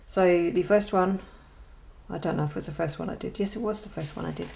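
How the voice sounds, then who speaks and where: Woman vlogger, lowish voice with vocal fry, hesitant and rambling, self-deprecating. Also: fairly quick, warm tone. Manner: rambling and hesitant, many ums and restarts; self-deprecating, chatty asides. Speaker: colouring channel host. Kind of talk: casual show-and-tell vlog.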